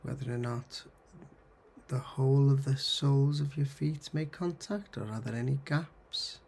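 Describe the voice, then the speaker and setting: A man leading a guided meditation in a husky, gravelly voice, giving gentle, soothing instructions in short phrases with pauses. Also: medium pitch, slight background noise. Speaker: counsellor guiding mindfulness. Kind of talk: guided meditation, body scan.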